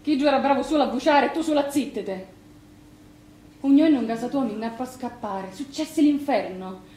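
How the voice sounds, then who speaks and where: An actress playing a blunt, scolding sister with a Sicilian accent in an expressive piece of dialect theatre. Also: female voice, high-pitched, fairly quick, natural voice. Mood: reproachful. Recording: roomy theatre sound with background noise.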